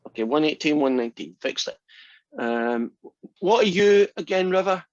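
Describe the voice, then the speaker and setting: A man's voice: a little high, expressive, normal speed, no echo. A man in his sixties teaching a math class online, chatty and friendly, often asking "right?" to check in. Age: 60s. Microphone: computer mic on a video call. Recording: dry, dull lo-fi call audio.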